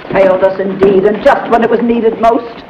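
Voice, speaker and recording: An actress playing a strict, haughty headmistress who speaks in a clipped, formal, severe way in a vintage drama. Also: female voice, medium pitch, fairly even intonation, normal speed, background noise, lightly acted. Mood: dry. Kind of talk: vintage television drama acting. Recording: dull lo-fi old soundtrack.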